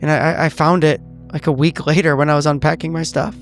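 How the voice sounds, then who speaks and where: Halting, shaken veteran agent, acted by a man, higher-pitched. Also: little pitch movement, faint music behind, lightly acted. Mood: flustered, distressed. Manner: halting, stammering, repeating himself. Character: shaken, evasive male veteran agent, smoking, guiltily confessing. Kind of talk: improvised in-character roleplay.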